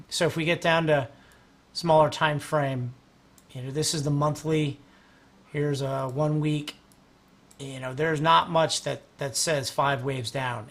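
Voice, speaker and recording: A man's voice: on the high side, fairly bright tone. A man hosting a livestream, walking through stock charts in casual, rambling talk while losing his voice. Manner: rambling, restarts, clears throat often. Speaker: host and market analyst.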